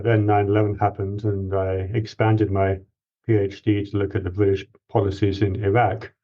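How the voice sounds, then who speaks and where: A man in his forties from Greece, a guest expert giving measured, explanatory interview answers with restarts. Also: lowish voice, mellow, highs cut off.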